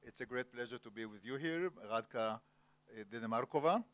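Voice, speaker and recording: A man's voice: mid-range voice, fairly bright tone. A man moderating an onstage literary interview, speaking formally with many hesitations. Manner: formal, many filler pauses. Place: cultural center event hall, Jerusalem.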